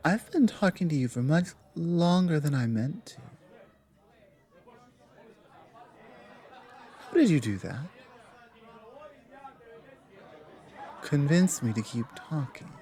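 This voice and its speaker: A man playing a huge, stern minotaur tavern guard in an ASMR roleplay, confident and teasing, with a deliberate, halting, menacing but amused delivery. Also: mid-range voice, dark tone. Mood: suspicious. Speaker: audio roleplay performer. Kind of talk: ASMR roleplay, in-character monologue.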